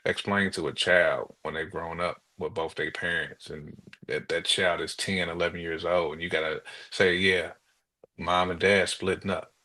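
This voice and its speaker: A man with a deep voice chats casually as a podcast co-host. He reasons his way through points out loud, often doubling back on his words in a conversational style. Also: fairly even intonation, moderate pace.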